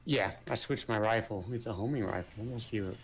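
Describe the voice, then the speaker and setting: A man's voice: fairly low pitch, fairly quick, poor audio. A man chatting casually while gaming, hesitant and full of fillers as he thinks aloud. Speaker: gamer co-op player.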